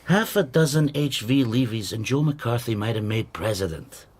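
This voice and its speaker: An actor playing a worldly, probing professor in a radio drama, speaking in a dry, measured, erudite manner. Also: male voice, mid-range voice, lively intonation, breathy edge. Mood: wry, grave. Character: worldly, probing male history professor, wry.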